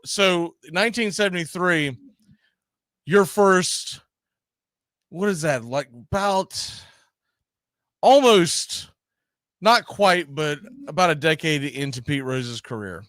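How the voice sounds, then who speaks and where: A man's voice: fairly high pitch, a bit bright, no echo. A man hosting a baseball talk show in a casual, rambling way. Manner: informal, rambling. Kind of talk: casual live show chat.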